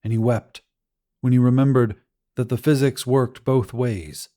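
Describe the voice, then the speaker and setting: A male audiobook narrator reads a scripted story. His voice sits in a middle register with a soft, dark, mellow color. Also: medium pitch, fairly even intonation, measured pace.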